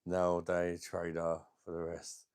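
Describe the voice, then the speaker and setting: A middle-aged man with a deep voice and a sore throat, chatting casually as he hosts a beer channel livestream and reads out viewer comments in a rambling, laid-back way. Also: monotone, measured pace, darkish tone, smooth. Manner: rambling, laid-back, chuckling between remarks. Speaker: beer review channel host.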